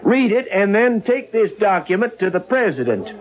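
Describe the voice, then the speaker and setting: A man playing a smooth-talking, evasive con-man husband, wheedling in a folksy, stammering way in a radio drama. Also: high-pitched, big pitch swings, normal speed. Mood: blustering. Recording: muffled old radio drama recording.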